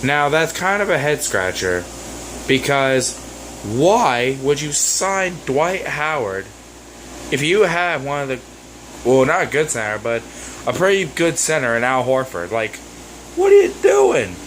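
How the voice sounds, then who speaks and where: A man with a hoarse voice, ranting about sports in a casual vlog, rambling and exasperated with lots of fillers. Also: medium pitch, big pitch swings, measured pace, a bit bright, slight background noise, low-quality recording. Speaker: sports vlogger.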